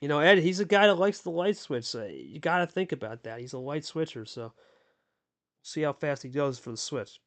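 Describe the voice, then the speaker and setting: A male play-by-play commentator with a slightly higher voice who keeps up a chatty, excited flow, hyping each move and bantering with his partner. He calls the game in a relaxed, casual way. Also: normal speed. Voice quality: deep radio voice. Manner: chatty, hyped, talks to co-host.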